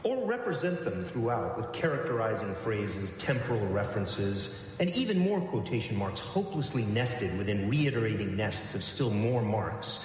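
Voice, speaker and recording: A man giving a deliberate, literary scripted narration in a live radio performance. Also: very animated, a little mellow. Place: live on stage in a large hall. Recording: very echoey hall, faint hiss and rumble.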